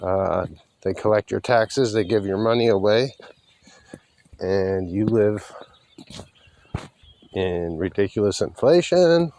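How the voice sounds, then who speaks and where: Slow-talking man with a low voice. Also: animated, dark tone. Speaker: vlogger. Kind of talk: rambling walking vlog monologue. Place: outdoors on a rural road while walking.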